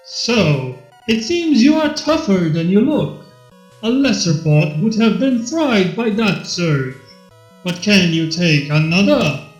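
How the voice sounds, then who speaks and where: A man's voice: high-pitched, lively intonation, takes it slowly, roomy sound. A man voicing a theatrical, honourable robot villain in a fan story, declaiming pompously in archaic, grandiose speech. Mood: haughty. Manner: pompous, declamatory Shakespearean delivery. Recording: dull lo-fi with background music.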